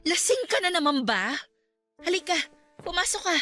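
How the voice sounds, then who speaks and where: A woman's voice: very high voice, sing-song, normal speed, bright, forceful tone, full character voice. An actress with a Filipino accent playing a worried but firm young girlfriend in a Tagalog radio drama, emotional and protective. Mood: concerned, scolding. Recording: dramatic music bed behind the voice.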